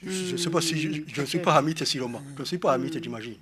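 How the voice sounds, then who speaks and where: A man from Rwanda with a Rwandan French accent, speaking to an audience in a halting, explanatory way, often repeating words and restarting. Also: fairly high pitch, a crowd in the background. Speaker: conference speaker. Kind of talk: lecture-style talk to an audience.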